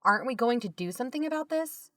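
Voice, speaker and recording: A woman's voice: a little high, expressive, fast talker, pushed and bright, no echo, clean studio sound, distant mic. An actress playing an outspoken, exasperated investigator who urges her colleagues bluntly in a naturalistic audio drama. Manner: blunt, urging.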